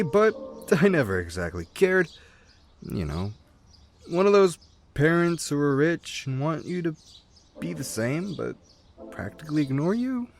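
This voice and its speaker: Man voicing a young rat drifter, laid-back, friendly, lively intonation. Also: on the high side, heavily acted. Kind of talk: fan comic dub.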